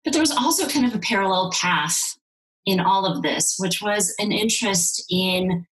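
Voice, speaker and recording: A woman's voice: medium pitch, moderate pace, a bit bright, rough recording. A woman in her forties, raised in Ukraine with Russian as her first language, speaking thoughtfully with restarts on a video call from home. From Ukraine, then Soviet Union. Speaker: guest, sex and intimacy coach. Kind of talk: candid interview. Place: home room, indoors.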